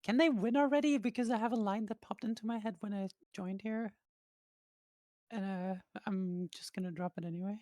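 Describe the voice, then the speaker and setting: A woman whose first language is Dutch, doing relaxed, jokey esports commentary with trailing fillers. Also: medium pitch, moderate pace, no echo, clean studio sound. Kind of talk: casual commentary chat.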